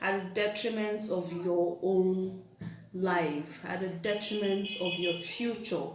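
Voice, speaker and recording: A woman from Nigeria chatting casually with her husband on their channel. She has a naturally loud voice that she keeps low, and she talks in restarts and fillers. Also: fairly even intonation, slow, distant mic, noisy background. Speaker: vlogger co-host. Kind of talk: casual couple chat. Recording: roomy echo, off-mic.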